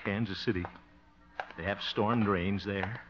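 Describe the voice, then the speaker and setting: An actor playing a blustering, softhearted middle-aged bachelor in a 1950s radio comedy, flustered and theatrical, given to muttered asides. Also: male voice, fairly low pitch, steady tone, a little slow, over loud music, close to an everyday voice. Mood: musing, smug. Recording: muffled vintage radio broadcast.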